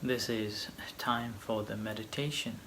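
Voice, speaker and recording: Male meditation teacher, slow delivery, short phrases, long pauses, light background noise. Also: on the low side, steady tone, fairly bright tone. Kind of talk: guided meditation, slow gentle instruction.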